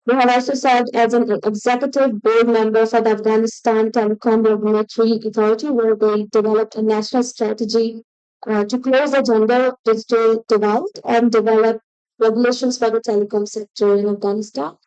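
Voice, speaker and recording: A woman's voice: fairly high pitch, little pitch movement, a little fast, clean tone, highs cut off. Woman emcee announcing a guest. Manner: loud, announcing tone. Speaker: event emcee. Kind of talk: formal speaker introduction. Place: event stage.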